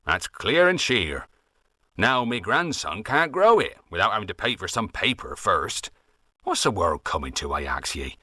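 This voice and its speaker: A man's voice: fairly low pitch, big pitch swings, moderate pace, bright, forceful tone, no echo, studio quality, heavily acted. A man voicing an old West Country farmer who rambles on in folksy dialect with a West Country accent, grumbling at red tape. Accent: West Country English. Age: older.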